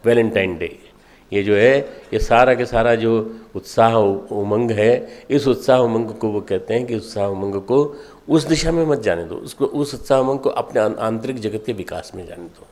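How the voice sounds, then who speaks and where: An older man delivers a motivational spiritual discourse, exhorting listeners with short, emphatic, repeated phrases and pauses between them. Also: on the low side, darkish tone. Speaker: spiritual leader addressing followers.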